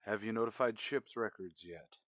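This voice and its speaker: An actor playing a stern but regretful male starship commander in a radio drama, measured and authoritative. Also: lowish voice, a bit bright, no room sound, natural voice.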